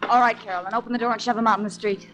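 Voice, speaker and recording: A woman's voice: on the high side, fairly even intonation, very fast, fairly bright tone, faint music behind, lightly acted, rough recording. Actress as a hostile, impatient young woman. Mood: hostile, demanding. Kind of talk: 1940s radio acting.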